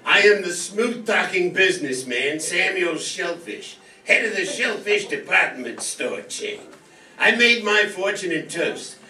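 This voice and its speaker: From a distance in an echoey space, a scheming tycoon boasts loudly, acted by a man. His voice is very sharp and high for a man, in broad radio soap style. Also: lively intonation, a little slow, exaggerated voice. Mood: smug. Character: smooth-talking, scheming male department store tycoon. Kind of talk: radio soap opera parody acting. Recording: distant echoey stage, faint noise.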